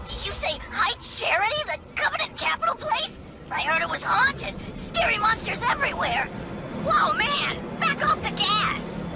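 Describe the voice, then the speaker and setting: A performer playing a small, cowardly, excitable alien grunt soldier, chattering comically in a video game. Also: extremely high pitch, normal speed, over a noisy crowd, heavily acted. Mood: nervous. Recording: loud game music and vehicle noise behind.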